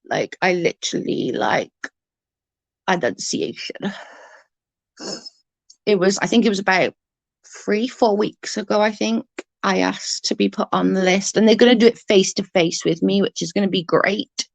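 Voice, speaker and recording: A woman with a stutter, chatting casually on a livestream as she reads out viewers' comments, halting and restarting her sentences. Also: medium pitch, creaky, no echo. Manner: rambling, halting, often restarts and repeats words. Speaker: livestream host reading chat.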